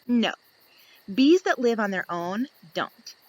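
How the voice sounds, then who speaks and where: A woman reads a children's storybook aloud at a gentle, slow pace. Her pitch moves up and down a great deal. She jumps up into higher voices whenever a question comes up. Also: low-quality recording. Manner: slow, lively reading with high voices for the questions. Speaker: storybook reader. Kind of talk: reading a children's picture book aloud.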